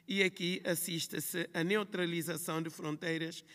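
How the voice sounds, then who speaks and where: A woman from Guinea-Bissau with a Guinea-Bissau Portuguese accent, a writer reading a measured, reflective prepared speech on a festival panel. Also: lowish voice, steady tone, fairly bright tone. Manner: measured, reflective, listing phrases in rhythm. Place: large hall at a literary festival. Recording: long hall reverb.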